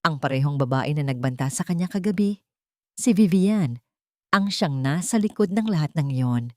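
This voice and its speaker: Filipino woman narrating, slightly low voice. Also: lively intonation, normal speed, dry recording, clean studio sound. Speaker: audiobook narrator. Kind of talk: dramatic scripted story narration.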